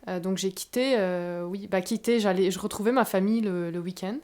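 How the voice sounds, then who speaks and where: A woman from France with a Parisian accent, in her forties, talking about her life as a guest dancer and choreographer in a relaxed, thoughtful way. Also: mid-range voice, brisk. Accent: Parisian French. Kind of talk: interview conversation.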